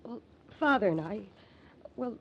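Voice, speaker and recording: An actress playing a distraught, determined young American woman in an old-time radio drama, earnest and halting. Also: fairly high pitch, lively intonation, a little hiss or hum. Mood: earnest, confessing. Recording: muffled old radio recording.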